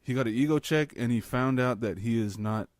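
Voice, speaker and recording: A young man co-hosting a casual podcast, talking bluntly with lots of swearing and animated opinions. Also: medium pitch, a little fast, dry recording, clean studio sound. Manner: blunt, profane, animated fight talk. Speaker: podcast co-host. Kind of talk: casual chat.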